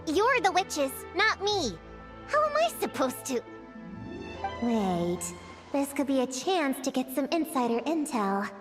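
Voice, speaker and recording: A woman's voice: high voice, sing-song, unhurried, music in the background. A woman voicing a wry, self-important young girl in a video game, speaking with dry, slightly pompous seriousness.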